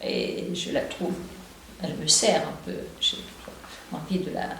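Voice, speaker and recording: A woman with a Marseille accent speaks in a thoughtful, halting way and restarts her sentences often. The room around her is strongly echoey, with a faint hiss underneath. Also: sits low, wildly swooping pitch, vocal fry, fairly bright tone. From Marseille, southern France. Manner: thoughtful, with many hesitations and restarts. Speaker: poet and translator. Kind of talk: reflective interview talk.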